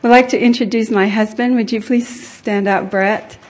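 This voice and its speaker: A middle-aged woman from Tonga giving an informal personal testimony to a group, pausing often as she goes. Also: a little high, steady tone, darkish tone. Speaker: attendee sharing personal story at a conference.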